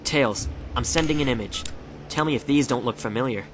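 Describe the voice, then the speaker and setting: A man's voice: mid-range voice, fairly quick, close to an everyday voice. A man playing a heroic hedgehog in an audio drama, casual, alert and clipped. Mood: alert, guarded. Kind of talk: fan audio drama acting. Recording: clearly noisy background ambience.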